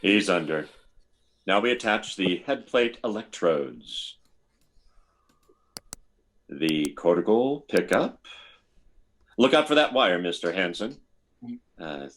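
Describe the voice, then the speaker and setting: Man as probing military psychiatrist, unhurried, animated intonation, hesitant stammer, sci-fi radio play. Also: low-pitched, exaggerated voice. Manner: stammering, hemming, deliberate. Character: hesitant, probing male army psychiatrist, methodical. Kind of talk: old-time radio sci-fi drama acting.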